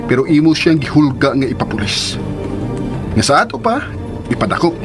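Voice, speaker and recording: Radio drama: emphatic Filipino son standing up for his mother, slightly high voice, clear music bed. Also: very animated, moderate pace. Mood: righteous indignation. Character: protective, defiant grown son defending his mother. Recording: music under the voice.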